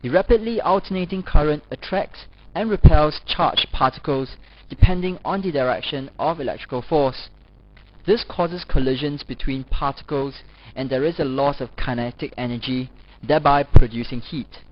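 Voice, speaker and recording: A man reading scripted educational narration as a lecturer, in a formal, measured manner. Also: a little high. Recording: dull, lo-fi voice-over recording.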